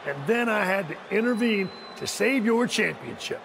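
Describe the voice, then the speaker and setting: An actor playing a scheming, condescending wrestling faction leader in a game cutscene, speaking in a measured, stern, gravelly way. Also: male voice, high-pitched, animated, background noise. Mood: scornful lecturing.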